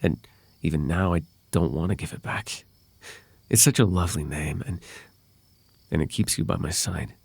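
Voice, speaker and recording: A man playing a possessive, lovelorn fae royal heir in an intimate ASMR roleplay, with halting, tender delivery and formal, old-fashioned wording. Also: low-pitched, gravelly, a little mellow, breathy edge, no room sound, exaggerated voice. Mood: relieved, clinging.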